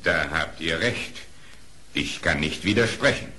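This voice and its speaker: A man's voice: very deep voice, animated, unhurried, pushed and bright, heavily acted. An actor playing a stately animal king in a radio play, speaking formally and regally.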